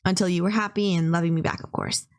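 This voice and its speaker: A woman's voice: fairly low pitch, steady tone, fast talker, lightly acted. A woman playing a polite, gushing young female kidnapper in an intimate anime-style roleplay, earnest, apologetic and rambling, obsessively in love. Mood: earnest, fussing.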